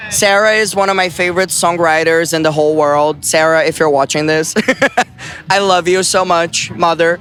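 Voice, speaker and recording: Bright-voiced man. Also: fairly high pitch, a little hiss or hum. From Brazil. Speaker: musician, interviewee. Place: outdoors at a Pride event.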